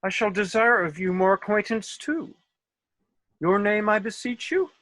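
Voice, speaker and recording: A man's voice: high voice, unhurried, fairly bright tone, no room sound. A man playing a foolish, self-assured but courteous rustic workman in a comic Shakespeare table read, with theatrical delivery over a video call.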